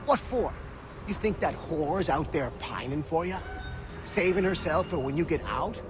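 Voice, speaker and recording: An actor playing a sneering, gossipy prison inmate in a video game, talking in coarse, mocking slang. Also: male voice, fairly high pitch, animated, unhurried, over loud music.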